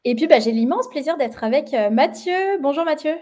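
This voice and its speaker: A young Parisian woman hosts an online webinar. She talks in a slightly higher, mellow voice. She keeps stopping for fillers and small restarts. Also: animated, brisk. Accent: Parisian French. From France. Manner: hesitant, many fillers and restarts. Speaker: marketing webinar host. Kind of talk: webinar presentation.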